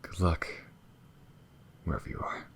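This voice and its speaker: A man playing a gentle, wistful alien lover in an intimate ASMR roleplay, speaking softly and hesitantly. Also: close to an everyday voice. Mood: wistful, hopeful. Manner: soft, hesitant, breathy pauses and sighs. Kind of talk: ASMR audio roleplay, intimate monologue to the listener.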